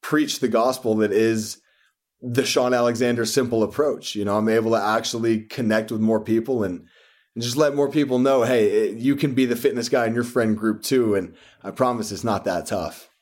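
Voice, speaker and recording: Guy guesting as a fitness coach, mid-pitched, earnest and rambling, restarts a lot. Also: steady tone, moderate pace, darkish tone. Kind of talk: casual interview storytelling.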